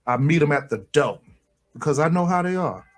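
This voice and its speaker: A man co-hosting a radio show in casual banter, animated and joking. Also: on the high side, brisk, faint music behind. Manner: animated, joking, swings into loud stern put-on voices.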